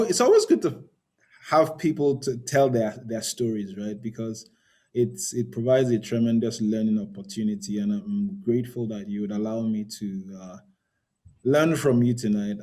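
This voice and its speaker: A man hosting an interview, speaking in a measured, polite way with frequent ums. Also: lowish voice, dark tone. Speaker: podcast host. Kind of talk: conversational interview.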